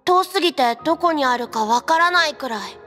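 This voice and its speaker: A woman's voice: high voice, fairly quick, sharp tone. A woman playing an innocent, trusting little boy in a Japanese game. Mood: innocent, curious. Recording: long reverb, music underneath.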